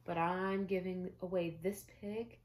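A woman's voice: fairly low pitch, fairly even intonation, measured pace, lightly acted. A young woman voicing an exasperated mother who scolds her son about his toys, in a firm reading voice.

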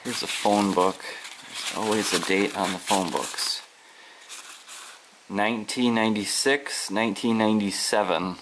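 A man with a deep, gravelly voice talks slowly and half to himself while walking through an abandoned farmhouse. His narration is musing and low-key, often trailing off into a whisper. The handheld camera audio carries clear background noise. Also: steady tone. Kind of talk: casual walkthrough narration.

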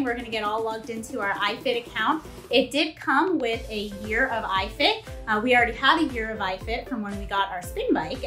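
A woman's voice: a little high, pushed and bright. A woman in her thirties casually reviewing home gym equipment to camera in a bare indoor room. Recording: echoey room, faint music behind.

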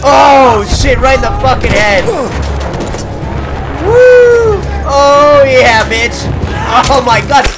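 A young man streaming a war game, loud, swearing and yelling at the action, into a headset mic in a small room. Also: very high voice. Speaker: gaming streamer. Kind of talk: let's play commentary. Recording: loud game music and gunfire behind.

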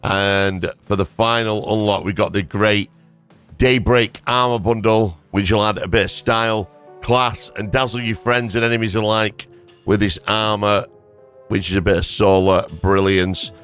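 A hoarse man giving casual, rambling gameplay commentary as a gaming YouTuber, thanking his viewers. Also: lowish voice, fairly even intonation, a little slow, a bit bright, soft background music.

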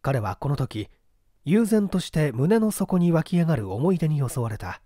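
Male audiobook narrator, dramatic literary mystery reading, expressive pitch movement, dry sound. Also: moderate pace, no room sound.

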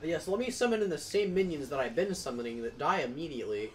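A man's voice: a little high, fast talker, slight background noise. A young man chatting casually while playing a video game with a friend, joking and laughing often. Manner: joking, giggly, animated. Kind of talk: casual gaming chat.

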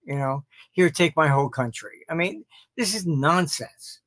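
An older man with a fairly high voice gives expert commentary as an interview guest. He speaks very slowly and haltingly, with dismissive emphasis. The recording is a dry video call. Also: lively intonation, fairly bright tone, no room sound. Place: home office, indoors.

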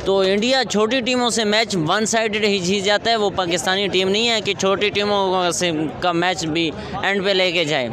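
A Pakistani man in his twenties explaining his opinion casually in a street interview, repeating points for emphasis. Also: high voice. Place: outdoors at night by parked cars and traffic. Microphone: interviewer's handheld microphone. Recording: busy outdoor street noise.

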